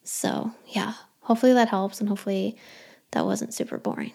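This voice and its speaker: A woman's voice: medium pitch, wildly swooping pitch, fairly quick, vocal fry, very noisy. A young adult woman hosting her own podcast, chatting casually and rambling through listener questions with a self-deprecating touch. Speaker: podcast host.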